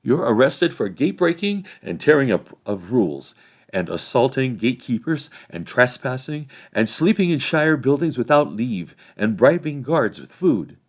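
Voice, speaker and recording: A man's voice: medium pitch, sing-song, moderate pace, voice pushed up. A man reading a storybook as a self-important, nervous hobbit sheriff, speaking in an officious, rustic way.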